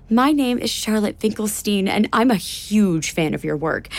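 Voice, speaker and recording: A woman's voice: medium pitch, natural voice. A woman playing an earnest, wordy young academic in a comic audio drama, with an American accent and emphatic delivery. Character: earnest, nerdy, indignant young woman linguist.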